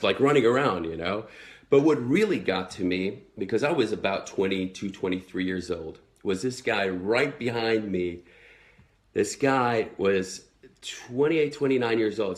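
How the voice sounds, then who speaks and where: Earnest Swedish man with an American accent. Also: lowish voice. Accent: American, learned in the United States. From Sweden. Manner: earnest, motivational, with many fillers. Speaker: network marketing entrepreneur. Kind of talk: live video monologue to followers.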